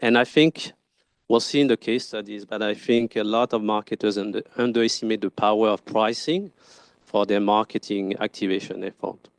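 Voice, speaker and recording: A man with a French accent, introducing himself as a guest speaker at a conference talk, polite and pausing often with fillers. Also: fairly low pitch, steady tone, smooth, no echo. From France. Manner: polite, frequent filler pauses. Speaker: guest speaker, branding firm founder. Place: event stage.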